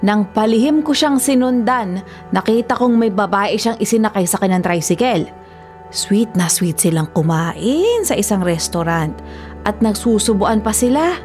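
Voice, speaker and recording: An actress playing a domineering, jealous older ex-wife in a Filipino radio drama, scolding and accusing with scorn. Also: female voice, mid-range voice, animated, moderate pace, a little mellow, lightly acted. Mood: stern, vengeful. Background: music.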